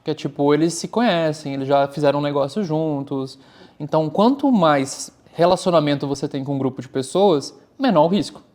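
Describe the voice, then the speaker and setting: Candid Brazilian man, fairly high voice. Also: fairly quick. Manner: candid, animated, uses "cara" often. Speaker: startup founder and guest. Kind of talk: casual interview talk.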